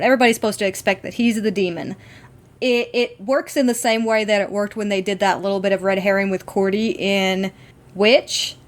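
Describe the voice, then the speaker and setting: A woman co-hosting a podcast, talking casually and emphatically as an enthusiastic fan. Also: mid-range voice. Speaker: podcast co-host.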